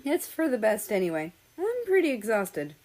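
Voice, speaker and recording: A woman's voice: fairly high pitch, huge pitch swings, moderate pace, darkish tone. A woman voicing an easygoing, tired and teasing male driver on a road trip in a story reading. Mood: tired, agreeable. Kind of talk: character dialogue in a story reading.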